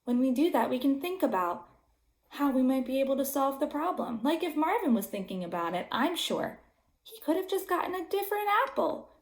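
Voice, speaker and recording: Very animated woman reading to young children. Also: high-pitched, reverberant. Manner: simple, emphatic phrasing for small children. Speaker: teacher reading aloud to children. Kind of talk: expressive storybook read-aloud for young children.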